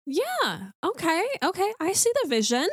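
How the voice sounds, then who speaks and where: A woman's voice: very high voice, wildly swooping pitch, moderate pace, dry recording, clean studio sound. A woman co-hosting a casual podcast, dropping teasing quips. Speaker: podcast co-host.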